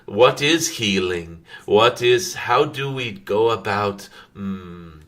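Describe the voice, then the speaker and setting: A man voicing a wise, serene dragon spirit in a channeled spiritual message, halting and contemplative with frequent hums and formal phrasing. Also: on the low side, a bit bright.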